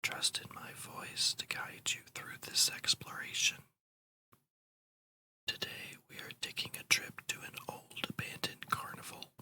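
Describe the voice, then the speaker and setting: A host whispering a guided ASMR meditation in a breathy, slow, soothing and deliberate way. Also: pushed and bright.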